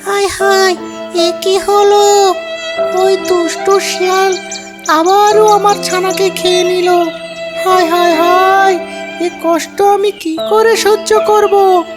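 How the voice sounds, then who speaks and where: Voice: extremely high pitch, flat delivery, slow pace, loud music behind the voice, pitched above the performer's own voice. A performer voicing an anxious, loving mother tailorbird in a children's cartoon, plaintive and tender. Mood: grieving.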